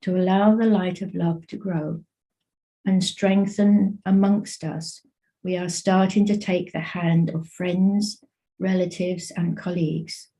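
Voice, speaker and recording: A woman reading a prepared statement in an earnest, measured way. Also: lowish voice, gravelly, dark tone, rough recording.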